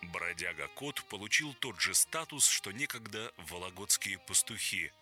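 A man reading a story aloud in a calm, storytelling manner. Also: low-pitched, moderate pace, soft background music. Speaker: audiobook reader. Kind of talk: scripted story narration.

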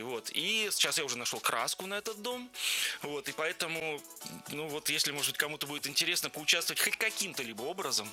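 A man's voice: medium pitch, pushed and bright. A man being interviewed on the radio as a guest, telling long stories loosely, with many fillers and restarts. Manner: rambling anecdotes with many fillers. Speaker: guest, producer of Orthodox projects.

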